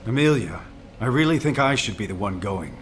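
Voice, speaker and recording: A low-voiced, solemn male officer speaks tersely in a game scene. He is stern and dutiful, with a faint noise bed behind him. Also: lively intonation, fairly quick, fairly bright tone. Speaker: military executive officer. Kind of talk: scripted game dialogue.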